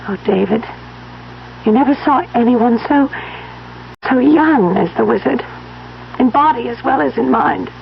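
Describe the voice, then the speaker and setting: A woman's voice: on the high side, animated, measured pace, creaky, pauses often, full character voice. An actress playing a tender, wistful woman in an old-time radio drama, speaking earnestly and with feeling. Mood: wistful, fond. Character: tender, wistful woman mourning her beloved step-grandfather. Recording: muffled vintage radio recording, faint hiss.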